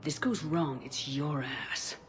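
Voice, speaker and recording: No-nonsense military hero in a game, deep for a woman, dry n wry, bit of music. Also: slow pace, big-room sound. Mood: irritated. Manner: dry, assertive.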